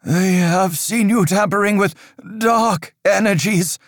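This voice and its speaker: Man voicing a shrill, quivering old priest. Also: takes it slowly, no echo, studio quality. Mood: fearful. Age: older. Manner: accusing, preachy. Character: old fearful male priest, shrill and pious.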